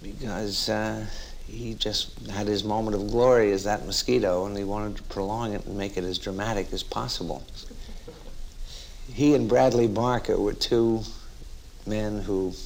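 A man telling anecdotes in a measured, reminiscing way, like a raconteur. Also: on the low side, steady tone, darkish tone, a little hiss or hum, rough recording. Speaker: interviewee recalling colleagues in radio.

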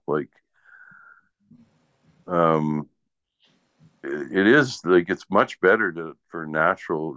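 A man in his sixties with a low-pitched voice, chatting casually. He rambles along in an easygoing way. The recording is dull and lo-fi. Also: fairly bright tone. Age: 60s. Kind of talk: casual chat. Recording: dull lo-fi audio in echoey room.

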